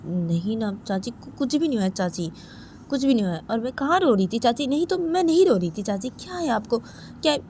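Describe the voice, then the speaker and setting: A young daughter-in-law in a domestic story, acted out by a woman narrator, flustered and insistent. Her protests climb high and repeat themselves, over noticeable background noise. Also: animated, brisk, warm tone, lightly acted. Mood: flustered, giving in. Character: young daughter-in-law, tearful, insistently denying she cried.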